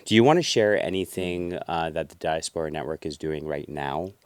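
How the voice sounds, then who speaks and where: Very deep-voiced affirming male host. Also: normal speed, clean tone, dry recording. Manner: affirming, with frequent "very good" and "yeah". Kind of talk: casual conversational interview.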